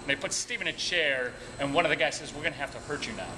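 A man guides a tour with humorous, colloquial stories. His voice sits a little high. He sounds casual, speaking over a noisy background. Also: fast, sharp tone. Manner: chuckles at own anecdotes, colloquial. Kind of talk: casual humorous storytelling to a group. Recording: noisy room, background music at times.

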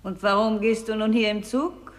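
A woman's voice: mid-range voice, fairly even intonation, normal speed, lightly acted. A performer playing a courtesan's shade, a grave female juror in a poetic radio play. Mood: questioning.

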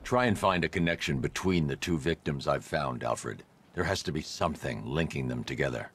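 An actor playing a grim, controlled vigilante detective in a video game, speaking in a clipped, deliberate, deadpan clinical manner. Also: male voice, rumbling low, a little hiss or hum. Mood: grim, analytical. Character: grim adult male masked vigilante detective, controlled and analytical.

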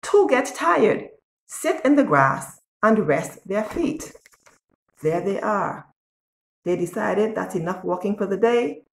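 A woman in her sixties reading a picture book aloud to young children in an expressive, deliberate way, as a storyteller. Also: mid-range voice, gravelly. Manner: expressive, deliberate, asks the listener questions. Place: small bare-walled room indoors. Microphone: phone or tablet at arm's length. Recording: echoey room, device at arm's length.

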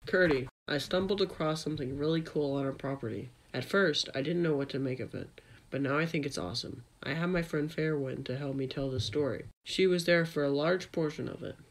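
An enthusiastic, geeky schoolboy played by a man with a fairly high voice. He tells his story in a casual, youthful way, as in an audio drama. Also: a little fast, close to an everyday voice, poor audio. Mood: casual, eager. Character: Seaver, enthusiastic middle-school tech-geek boy.

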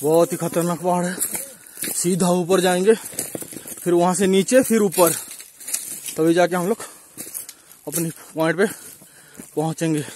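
A man's voice: sits high, fairly even intonation, unhurried, pauses often, a little mellow. A young man narrating a casual vlog on a handheld camera outdoors. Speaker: vlogger filming a hill trek. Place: outdoors on an open windy mountainside. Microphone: handheld phone or camera mic. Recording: noisy windy outdoor camera recording.

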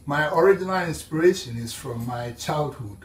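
A man's voice: a little high, lively intonation, normal speed, distant mic. A middle-aged man from Ghana with a Ghanaian accent, telling his life story to camera, rambling with many restarts. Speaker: artist. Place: indoor room with paintings behind. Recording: roomy echo, speaker set back from mic.

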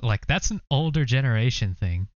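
Relaxed young man explaining, dry dull mic. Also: mid-range voice, normal speed, dry recording. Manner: relaxed, explains with many fillers. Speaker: co-host. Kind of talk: casual reaction commentary.

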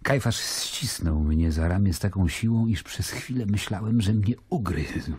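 An actor playing a grave Roman governor who confides in his brother, in a measured, solemn radio-drama narration. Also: sits low, darkish tone, slight background noise. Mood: brooding, moved. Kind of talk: radio drama, epistolary first-person narration. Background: music.

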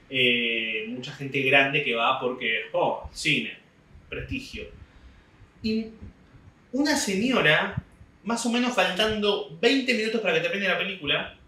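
A man's voice: medium pitch, pushed and bright, roomy sound, far from the mic, low-quality recording. A young man with an Argentine Spanish accent telling a rambling comic story in a casual monologue. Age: young adult. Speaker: comedian podcast host. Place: small home room indoors. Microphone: desk mic on stand, camera at distance.

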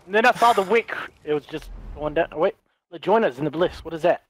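A man chatting and laughing while playing a co-op video game, a bit hoarse from long talking, often breaking into excited shouts. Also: fairly high pitch, brisk. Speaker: co-op gaming guest. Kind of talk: casual gameplay chat.

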